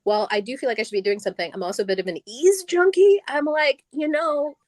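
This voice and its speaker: Animated, chatty middle-aged woman. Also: high-pitched, quick pace, no echo, studio quality. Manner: animated, laughs often, restarts her sentences. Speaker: on-stage guest. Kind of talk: casual live conversation. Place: live event stage.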